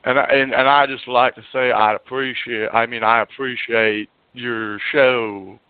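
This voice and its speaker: Stammering caller on a tinny phone line. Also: male voice, mid-range voice, fairly even intonation, measured pace, clear, resonant tone, dry recording. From Alabama. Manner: stammering, folksy, rambling, turns taunting. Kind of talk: rambling call to a radio show.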